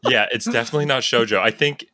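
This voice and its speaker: A man's voice: mid-range voice, fast, pushed and bright, slight background noise. A man from Long Island with a matching accent, hosting a podcast in playful, joking casual chat. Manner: joking, playful, laughs often. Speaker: podcast host.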